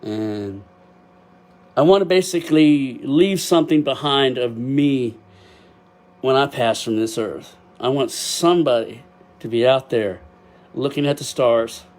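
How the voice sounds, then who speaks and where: A man's voice: mid-range voice, a little slow. Older Texan man, podcast host, rambling heartfelt talk with laughs, webcam mic. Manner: emotional, rambling, laughs often; loud at times, deaf and can't hear himself. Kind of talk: casual solo podcast talk. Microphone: built-in webcam microphone.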